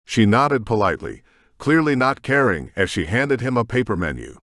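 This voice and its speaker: Male narrator, low and expressive, steady storytelling, dry close-miked sound. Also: moderate pace, no room sound. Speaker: audiobook narrator. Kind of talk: scripted narration.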